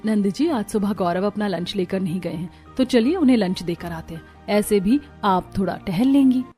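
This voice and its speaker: A woman's voice: mid-range voice, expressive, normal speed, mellow, faint music behind, close to an everyday voice. A woman playing a caring, dutiful young sister-in-law in a cartoon family story. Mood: bright, organizing.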